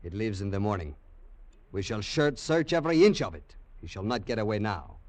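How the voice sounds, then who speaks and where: An actor playing a dutiful, determined Peruvian police commandant in a 1940s radio drama. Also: male voice, fairly low pitch, very animated, fairly quick, darkish tone, a little hiss or hum. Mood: firm, resolute. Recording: old dry 1940s radio broadcast, music.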